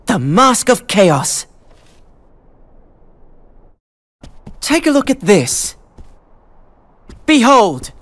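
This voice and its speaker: A man playing a cocky, excitable teenage boy adventurer in a game cutscene, theatrical and boastful. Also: very high-pitched, takes it slowly, no echo, heavily acted. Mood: dramatic, proud. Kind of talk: animated cutscene acting.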